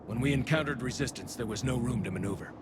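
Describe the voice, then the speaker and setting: A man's voice: medium pitch, fast, natural voice. A man voicing a dutiful, remorseful alien soldier in a video game, formal and earnest in a military way. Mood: sombre, regretful. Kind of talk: dramatic video game acting. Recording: noisy battlefield ambience behind voice.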